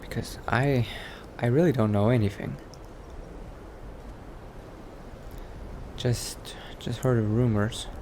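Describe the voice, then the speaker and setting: A man playing a thoughtful scholar who is turning into a vampire, musing aloud haltingly in an improvised roleplay monologue. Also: medium pitch, steady tone, slight background noise, natural voice.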